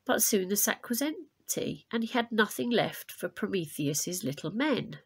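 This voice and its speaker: A woman teacher reading a storybook aloud to pupils in an expressive, deliberate way, adding explanations. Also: mid-range voice, slightly rough.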